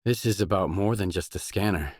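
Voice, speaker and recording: An actor playing a gruff, guarded, hard-bitten mercenary in a video game, speaking in terse, blunt, businesslike lines. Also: male voice, sits low, brisk, darkish tone, no echo, clean studio sound. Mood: inquisitive, guarded.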